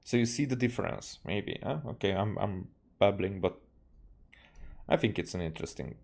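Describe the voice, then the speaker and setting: A man's voice: on the low side, lively intonation, normal speed, creaky, darkish tone. A man with a Hungarian accent, casually rambling as a live game streamer in a hesitant, musing way.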